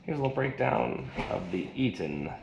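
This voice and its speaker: A man speaks in a very low register, reviewing a gadget in a loose, rambling, colloquial way. He is indoors, and the recording is roomy and echoing, with clear noise behind him. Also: very animated, normal speed. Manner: rambling, colloquial, folksy slang. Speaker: product reviewer. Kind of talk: casual hands-on gadget review. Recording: echoey room with loud noise.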